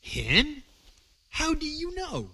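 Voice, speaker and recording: A man's voice: extremely high pitch, wildly swooping pitch, slow, pushed and bright, heavily acted. An actor playing a grave, decisive man of authority in a medieval video game. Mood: grave, alarmed. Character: concerned male authority, grave, decisive, questioning. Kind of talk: video game drama acting.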